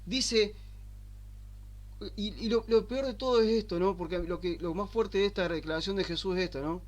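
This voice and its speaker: An Argentine man preaching a sermon, asking rhetorical questions and slowing down to stress the scripture he quotes. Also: high voice. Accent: Argentine Spanish. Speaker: pastor. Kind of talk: sermon teaching.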